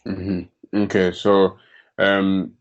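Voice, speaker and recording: Laid-back young man, low voice. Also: completely flat delivery, extremely slow, dull audio. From London, England. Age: young adult. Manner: laid-back, slangy, laughs often. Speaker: podcast guest. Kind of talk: casual chat.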